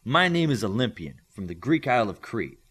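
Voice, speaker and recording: A man's voice: fairly low pitch, big pitch swings, a little fast, fairly bright tone, breathy edge, exaggerated voice. Man voicing a proud, grandly declarative teenage superhero boy.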